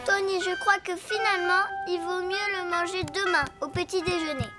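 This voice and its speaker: A performer voicing a bossy, excitable young girl elephant in a children's cartoon, lively and assertive. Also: extremely high pitch, normal speed, over loud music. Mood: friendly. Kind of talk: animated children's cartoon acting.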